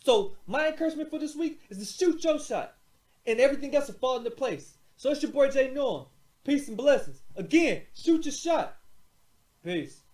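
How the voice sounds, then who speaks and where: High-voiced man giving an echoey pep talk. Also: sing-song, normal speed, a little mellow. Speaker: motivational segment presenter. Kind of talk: motivational monologue. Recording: roomy echo.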